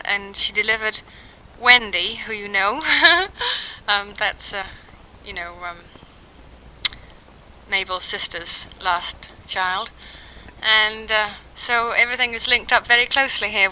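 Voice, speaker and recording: A woman's voice: medium pitch, sharp tone, smooth, poor audio. A woman in her thirties chatting casually and hesitantly, as in a spoken letter to family, on a 1960s home tape. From England. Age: 30s. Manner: informal, hesitant, pausing often with ums. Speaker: mother recording an audio letter to family. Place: at home, in a quiet house.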